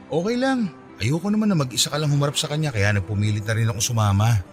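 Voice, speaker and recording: A man's voice: mid-range voice, lively intonation, fast talker, natural voice. Actor doing eager sweet young pinoy guy in a radio love drama, voice swings up and down, faint music. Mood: reassuring. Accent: Filipino. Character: eager, caring young male office worker, shyly smitten. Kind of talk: radio drama acting in Taglish.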